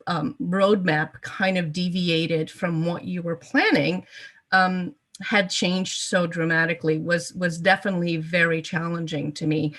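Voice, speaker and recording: A woman in her fifties, originally from Portugal and a native Portuguese speaker, answers interview questions about her life in a somewhat low voice. She speaks hesitantly, filling pauses with ums and repeating words as she gathers her thoughts. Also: fairly bright tone. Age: 50s. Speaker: interview guest. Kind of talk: conversational life story interview.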